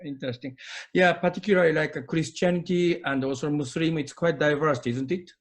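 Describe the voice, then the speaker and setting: Man, Japanese accent, slightly high voice, casual interviewer, brief acknowledging replies. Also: steady tone, a little fast. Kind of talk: casual interview with short listening responses. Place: remote internet or phone call.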